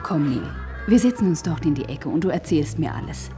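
A woman's voice: sits low, very animated, brisk, music in the background. An actress playing a fond, curious, solicitous woman in a science-fiction radio play.

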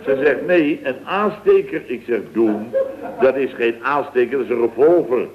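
Actor as a flustered boss on dull old radio. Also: male voice, mid-range voice, normal speed, crowd noise, natural voice. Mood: indignant storytelling. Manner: stammering, repetitive, grumbling. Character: flustered, blustering middle-aged male building firm boss. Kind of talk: 1970s radio comedy acting. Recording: dull, hissy vintage radio recording.

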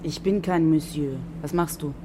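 A woman's voice: low-pitched, fairly even intonation, moderate pace, background noise, lightly acted. An actress playing a jaded woman, blunt and dismissive, in a German radio drama. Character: jaded, scornful woman brushing off an idler.

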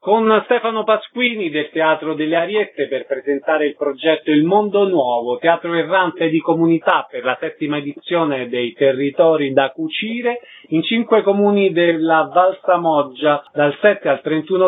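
Man, radio host, fairly high pitch, interview and announcement style, muffled band-limited audio. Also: moderate pace, few pauses.

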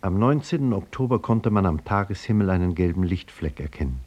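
An actor playing a scientist who gravely and precisely recounts a catastrophe in a 1950s German radio drama. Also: male voice, low voice, expressive, a little mellow, lo-fi sound. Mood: grave, measured. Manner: grave, deliberate, precise storytelling. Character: measured scientist-chronicler recounting a cosmic catastrophe from his manuscript.

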